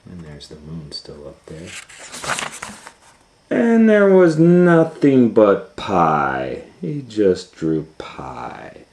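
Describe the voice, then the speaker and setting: A man's voice: low voice, wildly swooping pitch, extremely slow, darkish tone, slight background noise. A man reading a children's storybook aloud as a storytime host, retelling it informally with musing asides. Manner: improvised asides and musing hums.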